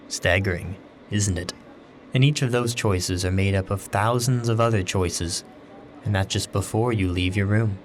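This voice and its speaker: A man playing a brooding story host, delivering scripted dramatic narration in a deliberate, rhetorical way. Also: low-pitched, lightly acted. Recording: clear background train noise.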